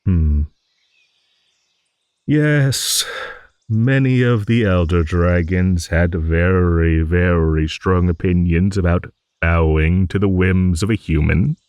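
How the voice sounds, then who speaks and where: A man's voice: sits low, slow pace, darkish tone, no echo, studio quality. A man voicing an ancient, good-natured old dragon who rambles and muses in a fantasy audio roleplay. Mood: musing, fond. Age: older. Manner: rambling, musing, with hums and chuckles.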